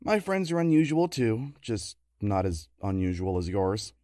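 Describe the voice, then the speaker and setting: A man playing a patient, affectionate partner with dry, gentle wit in a naturalistic comedy audio drama. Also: lowish voice, big pitch swings, measured pace, no room sound. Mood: wry affection. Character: patient, affectionate, wry husband or boyfriend.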